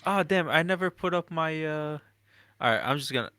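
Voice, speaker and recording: Bright-voiced man in casual podcast banter. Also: on the high side, lively intonation, a little fast, clean tone, no echo. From Egyptian background. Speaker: podcast host. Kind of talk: casual comedic chat.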